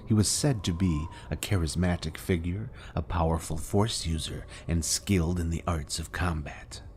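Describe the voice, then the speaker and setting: A man voicing a grave adult male character who recounts ancient history in measured, formal storytelling, as game dialogue. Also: sits low. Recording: game audio with audible background noise.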